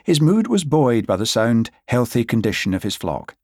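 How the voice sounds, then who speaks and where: A man's voice: medium pitch, big pitch swings, moderate pace, darkish tone, no room sound, clean studio sound. A man reading a novel aloud as scripted audiobook narration.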